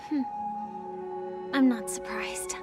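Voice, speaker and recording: A woman voicing a calm, guarded young female soldier turned outlaw in a dramatic video game, speaking softly and with composure. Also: a little high, takes it slowly, music in the background. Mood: guarded, resigned.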